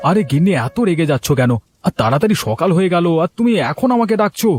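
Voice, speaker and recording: Quick, high-voiced lazy husband played by a man. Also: big pitch swings, darkish tone, faint music behind. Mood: grumbling. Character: lazy, grumbling village husband who coaxes his wife. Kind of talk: cartoon dialogue acting.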